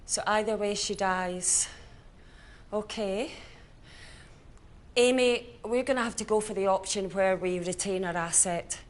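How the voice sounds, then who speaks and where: A woman's voice: mid-range voice, steady tone, measured pace, pauses often, natural voice. A woman playing a cold, ruthless corporate boss in a naturalistic screen drama. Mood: cool, commanding.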